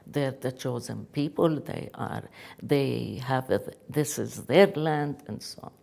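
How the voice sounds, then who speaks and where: Deep-voiced, gravelly older Palestinian woman. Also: dark tone. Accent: Palestinian Arabic. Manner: earnest, emphatic, halting delivery. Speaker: activist speaker. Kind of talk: personal testimony in an interview.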